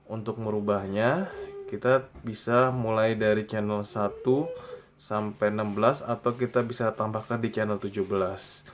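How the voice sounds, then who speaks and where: An Indonesian man presenting a step-by-step software tutorial in a plain, instructional way, pausing between short phrases. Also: fairly low pitch, fairly even intonation, a little hiss or hum.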